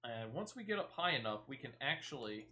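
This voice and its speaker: A man's voice: mid-range voice, sing-song, a little fast, sharp tone. A man giving casual, chatty gameplay commentary, thinking aloud with a playful, mildly self-deprecating touch. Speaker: gaming streamer.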